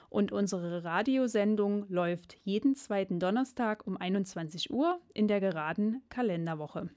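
A woman's voice: mid-range voice, darkish tone. A woman presenting a radio show and leading an interview in a studio. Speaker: radio host.

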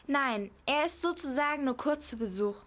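Slightly high-voiced, orderly mother, played by a woman. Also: lively intonation, moderate pace, fairly bright tone. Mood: gently firm. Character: orderly, cautious parent advising the children. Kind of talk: amateur radio play acting.

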